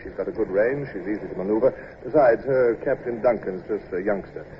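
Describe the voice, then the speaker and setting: A man's voice: lowish voice, close to an everyday voice. Man acting an imperious naval commander, old radio. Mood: decisive command. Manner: brisk, commanding, blustering. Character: imperious, irascible British naval commander at sea, dryly humorous. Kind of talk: 1950s radio drama acting, first-person narration and dialogue. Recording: dull, hissy old radio broadcast recording.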